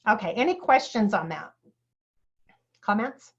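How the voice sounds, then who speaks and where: A woman teaching a real estate class on listing paperwork, chatty and full of asides and fillers, with a dry throat she keeps clearing. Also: medium pitch, sing-song, measured pace. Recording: dull lo-fi sound.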